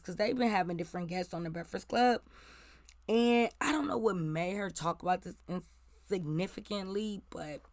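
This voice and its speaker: A woman in her forties hosts celebrity gossip with a slightly raspy voice that still cuts through bright and forceful. She talks in a blunt, profane, ranting way. The sound is dry, with no room around it. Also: medium pitch, no echo. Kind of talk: casual gossip commentary.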